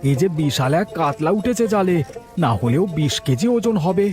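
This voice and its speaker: A man voicing a loyal, outspoken younger village fisherman, earnest in manner, in an animated story. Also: a little high, normal speed, lightly acted. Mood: concerned, eager. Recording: faint music behind the voice.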